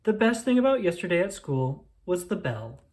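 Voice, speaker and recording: Expressive storybook reader with swooping pitch. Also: normal speed. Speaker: children's book reader. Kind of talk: storybook read-aloud, first-person child narrator.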